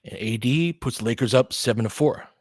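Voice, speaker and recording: A man in his forties doing casual play-by-play of a basketball game, chatty and excitable, thinking aloud between plays. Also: medium pitch, brisk, slightly rough, dry recording. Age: 40s. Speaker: play-by-play host. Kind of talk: casual fan play-by-play commentary.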